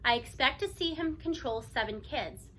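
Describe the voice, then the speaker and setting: An unforgiving school superintendent from a children's novel, acted out by a woman reader. He is indignant and argumentative, stressing his points. The voice is high and bright. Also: lively intonation, normal speed, exaggerated voice.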